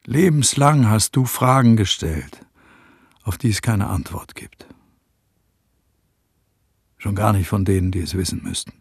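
An actor playing a reflective, reproachful friend in a radio play, speaking in a hushed, gravelly voice. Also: male voice, on the low side, measured pace, long pauses, dry recording, exaggerated voice. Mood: quiet, resigned. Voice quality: gravelly, husky. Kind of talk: German radio play acting.

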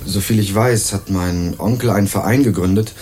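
An actor playing an earnest young male student investigator in a radio crime drama, narrating and questioning in a measured, dry way. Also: lowish voice, a little mellow, a little hiss or hum, close to an everyday voice. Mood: earnest, probing. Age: young adult. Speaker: narrator and lead character.

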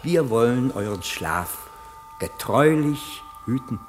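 An actor playing a scheming, mocking courtier in a theatrical, insinuating manner in a German radio play. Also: male voice, medium pitch, expressive, takes it slowly, slight background noise. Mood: smooth, attentive.

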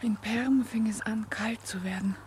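An actress playing a reserved, subdued young female convict in a 1960s German radio drama, with quiet, restrained delivery. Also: on the high side, fairly even intonation, a little slow, close to an everyday voice. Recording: hissy old 1960s radio recording.

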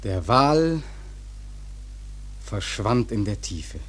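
A male actor narrating a sea story for a German radio play in a grave, measured, literary manner with a husky voice. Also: on the low side, very animated, exaggerated voice. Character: grave seafaring storyteller recounting a whaling disaster.

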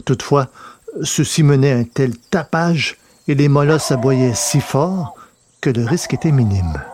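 A man's voice: medium pitch, expressive, a little slow. Male storyteller with noise behind him. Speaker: narrator. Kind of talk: scripted dramatic story narration. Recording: noticeable background noise.

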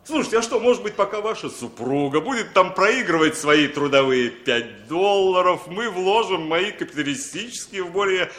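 A man's voice: high voice, expressive, normal speed, few pauses, fairly bright tone, reverberant. An actor playing a talkative, worldly foreign capitalist in a radio play, chatty and persuasive, often repeating his words. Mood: jovial, expansive. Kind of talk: 1960s Soviet radio play acting.

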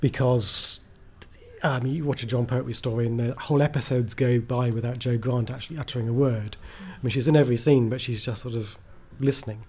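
A man's voice: medium pitch, fairly even intonation, brisk, a little mellow, breathy edge. A man chatting casually as an interview guest, a writer who is enthusiastic, rambling and self-deprecating.

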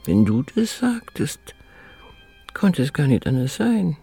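An actress playing a lonely, tender elderly woman in a radio drama, musing in a hushed, intimate way. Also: deep voice, very animated, slow pace, creaky, breathy edge, soft background music, exaggerated voice. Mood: wistful, tender. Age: older.

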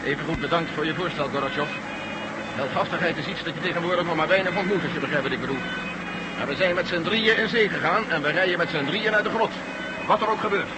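An actor playing the brisk, commanding leader of three fugitives in a Dutch radio drama, speaking with urgency. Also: male voice, fairly high pitch, natural voice. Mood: resolute. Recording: noisy radio play, loud vehicle sound effects.

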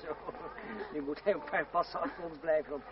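An actor playing a bold, self-assured young lover in a radio play, with a brisk theatrical delivery. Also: male voice, a little high, steady tone, a crowd in the background, close to an everyday voice. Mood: animated. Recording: dull, noisy old radio recording, distant.

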